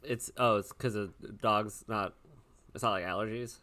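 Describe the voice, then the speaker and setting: A man chatting casually as a podcast player, rambling and often halting to restart his thought. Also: fairly low pitch, fairly even intonation, sharp tone. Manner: rambling, halting, self-interrupting. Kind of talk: casual chat.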